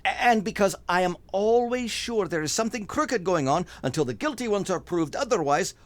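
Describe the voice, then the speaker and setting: A very high-voiced, grandiose little fixer who is always after money. His pitch swoops wildly as he pours out flowery, muddled English, eager and self-important in old-time radio comedy-drama style. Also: animated, a little fast. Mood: self-assured. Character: pompous, money-grubbing, over-eager foreign male fixer, comic schemer. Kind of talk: 1950s radio drama acting.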